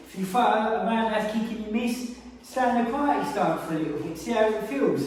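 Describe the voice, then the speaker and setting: Echoey high-voiced man teaching fighting. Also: a little hiss or hum. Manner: explains while demonstrating moves. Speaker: martial arts instructor. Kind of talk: casual spoken technique lesson. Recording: very echoey room, mic far off.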